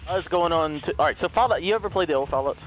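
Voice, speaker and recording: A man's voice: fast, rough recording. A man hosting a casual gaming video who talks to his viewers and then chats with a teammate during play, his voice strained from recent overuse. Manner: energetic, upbeat intro, then low-key gameplay chat.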